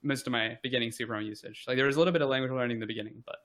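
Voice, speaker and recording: A young man from the United States answers questions in a relaxed interview. His voice is bright and forward. He talks in loose, hesitant runs full of fillers and self-deprecating remarks. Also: medium pitch, very fast. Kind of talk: casual conversation.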